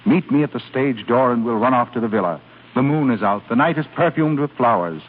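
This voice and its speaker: Suave, swooping 1930s radio suitor. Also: male voice, mid-range voice, expressive, brisk. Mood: ardent, courtly. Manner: smooth, teasing, with hesitant throat-clearing. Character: suave, teasing male admirer, secretive and ardent. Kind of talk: 1930s radio drama acting. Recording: tinny, noisy 1930s radio broadcast.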